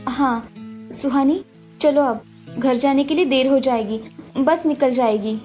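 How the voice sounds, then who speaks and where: महिला की आवाज़ में ऊँची आवाज़ वाली फिक्रमंद बड़ी बहन. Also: female voice, high voice, a little slow. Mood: reassuring. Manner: concerned, gently chiding. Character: caring, protective young elder sister. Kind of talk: Hindi cartoon story dubbing. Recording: music behind the voice. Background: music.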